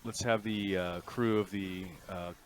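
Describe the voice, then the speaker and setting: A man narrating and refereeing a tabletop roleplaying game as game master, in a halting, deliberate way. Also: deep voice, fairly even intonation, a little hiss or hum.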